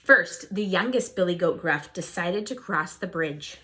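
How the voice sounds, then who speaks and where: A woman teacher reading a storybook aloud and teaching children, expressive, posing questions to her listeners. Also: fairly low pitch, measured pace. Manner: expressive, asks listeners questions and answers them.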